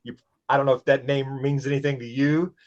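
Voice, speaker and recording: A man's voice: mid-range voice, level tone, brisk. Dull-sounding recording of a sixty-year-old man. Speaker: podcast co-host. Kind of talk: casual chat, reminiscing.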